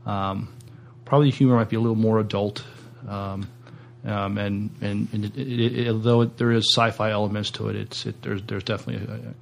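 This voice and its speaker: A middle-aged man co-hosting a podcast in casual, halting discussion, often repeating words. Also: on the low side, flat delivery, gravelly. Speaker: podcast co-host.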